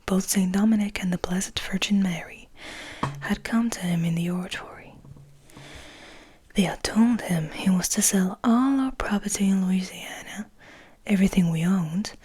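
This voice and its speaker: A woman voicing a serene but bitter male vampire in a measured, formal, breathy whisper for a storybook reading. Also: medium pitch, darkish tone.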